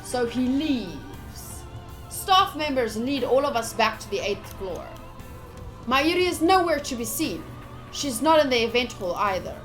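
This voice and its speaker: A woman with a high voice plays through a visual novel on a live stream. She reads the text aloud with some drama, then slips into chatty asides to her viewers. Soft music plays underneath. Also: expressive, measured pace. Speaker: game streamer. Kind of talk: live visual novel playthrough, reading aloud and commenting to chat.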